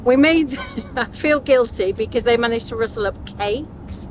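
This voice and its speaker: A woman's voice: on the high side, normal speed. A middle-aged British woman chatting casually as a travel vlogger. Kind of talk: casual vlog chat. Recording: noisy vehicle and outdoor ambience.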